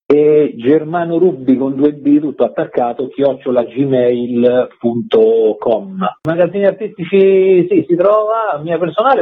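A man speaking casually as a guest in a remote radio interview, with a husky voice. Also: medium pitch. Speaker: interview guest, actor and author. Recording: tinny, narrow phone-like line.

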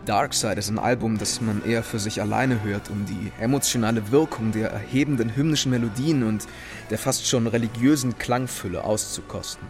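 An actor playing a reflective narrator, giving measured, literary scripted narration in a radio play. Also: male voice, lowish voice, close to an everyday voice. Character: reflective male narrator recalling a fading musician. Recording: music behind the voice.

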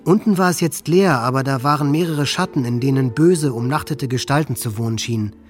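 A man's voice: mid-range voice, fairly quick, soft background music, lightly acted. A man playing a disturbed, hate-filled teenage school shooter in a German audio drama monologue. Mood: haunted, recounting.

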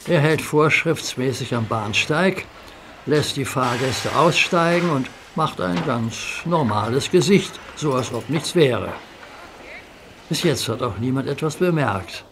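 A man narrating a children's audio drama in a calm, measured storytelling manner. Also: medium pitch, background noise.

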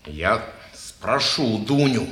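A man's voice: fairly low pitch, very animated, very slow, fairly bright tone, heavily acted. An actor playing a vain, sneering, suspicious man with an affected, disdainful manner in a 1950s Russian stage play. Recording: roomy old stage-play recording.

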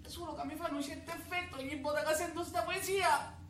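A man acting a bombastic, excitable amateur scholar in a stage comedy, grandiose and emphatic. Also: very high-pitched, a little fast. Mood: gushing. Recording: echoey theatre, faint noise.

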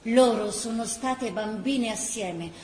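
An actress with a Tuscan accent narrating a wartime chronicle as an impassioned, vivid radio-theatre storyteller. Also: female voice, fairly high pitch, slow, a little mellow. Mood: vivid, suspenseful. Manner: vivid, theatrical storytelling that breaks into characters' cries. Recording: roomy stage sound, faint background noise.

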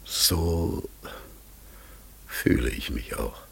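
Very husky, brooding talking raven. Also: male voice, extremely low pitch, full character voice. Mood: guarded, pensive. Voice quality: husky, gravelly. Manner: halting, brooding, self-correcting formal speech. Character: mysterious talking raven, pensive, unsure of its own nature. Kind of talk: German radio play acting.

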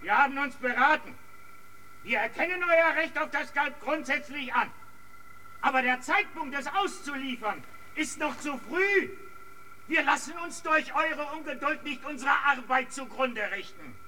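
An actor in a German radio drama playing a prison keeper who calls out formal, reasoning appeals. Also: male voice, very high voice, lively intonation, moderate pace, pushed and bright, exaggerated voice. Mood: urgent, appeasing. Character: pleading, reasoning male prison keeper negotiating with besiegers. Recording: distant from mic, background noise.

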